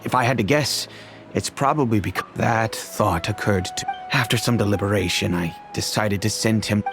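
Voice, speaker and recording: An actor playing a measured, courteous middle-aged master of a wealthy house in a video game, formal and deliberate. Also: male voice, fairly low pitch, natural voice. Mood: sombre, recounting. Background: music.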